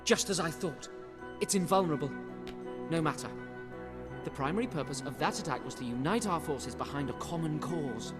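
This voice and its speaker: A man plays a brave young prince from a fantasy game. His voice is high, his speech formal and eloquent. Music plays behind him. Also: animated, normal speed, natural voice. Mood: assured, analytical.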